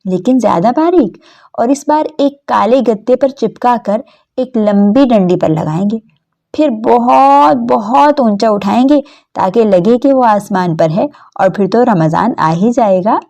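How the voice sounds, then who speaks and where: Woman voicing a determined, eager schoolboy, high voice. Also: lively intonation, creaky, warm tone. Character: determined, inventive schoolboy friend making plans.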